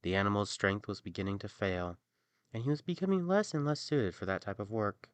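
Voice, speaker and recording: A man narrating a fairy tale as an expressive storyteller. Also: fairly low pitch, a little fast, smooth, no echo.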